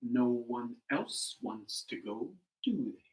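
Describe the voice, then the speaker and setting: A performer voicing a humble, timid male turtle in a folktale, speaking slowly and hesitantly. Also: medium pitch, lively intonation, darkish tone, pitched below the performer's own voice. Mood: meek.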